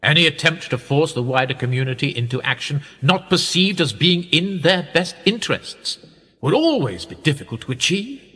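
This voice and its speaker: Radio play: man playing a worldly establishment power broker, suave and patronising, lively inflection, cavernous echo. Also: fairly high pitch, normal speed, breathy edge, full character voice. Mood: sly, assured. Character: smug, worldly male powerful establishment insider, pedantic and condescending. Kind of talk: radio drama dialogue. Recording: long reverberant hall, music underneath. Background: music.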